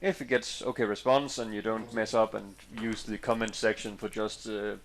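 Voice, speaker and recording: A Danish man whose first language is Danish speaks English with a Danish accent, commentating on a card game he played. He talks in a fairly low voice. He is chatty and loose, often hesitating and restarting his phrases as he works out what to say. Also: level tone, normal speed, a little hiss or hum. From Denmark. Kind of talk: casual commentary over match footage.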